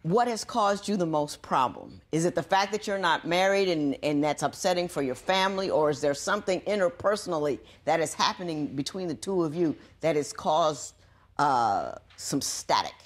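A woman's voice: low-pitched, lively intonation, normal speed. A middle-aged woman presiding as a TV court judge, questioning the parties in a blunt, folksy way in a courtroom set.

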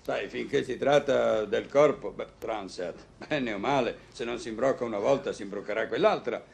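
A man's voice: mid-range voice, moderate pace, vocal fry. A man acting a jovial, philosophical middle-aged doctor who banters in a wry, florid way, in a vintage radio drama.

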